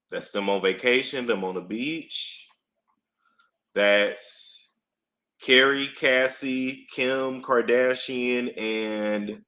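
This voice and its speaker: A man giving casual live-stream commentary, emphatic and animated, repeating phrases for effect. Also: medium pitch. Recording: roomy echo.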